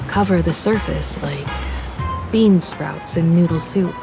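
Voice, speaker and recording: A woman voicing a composed, wistful young woman in a game story, talking in a measured, reflective way. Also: on the low side, creaky, music in the background. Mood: fond, nostalgic. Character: composed, wistful young woman, former scrappy martial artist student. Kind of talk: scripted game story dialogue.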